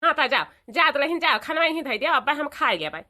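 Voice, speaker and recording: A woman's voice: high-pitched, big pitch swings, fairly quick, sharp tone, exaggerated voice. A woman voicing a bossy but kindly old village mother-in-law in a cartoon, with an eastern Uttar Pradesh accent and a repetitive, instructing manner. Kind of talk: cartoon dialogue.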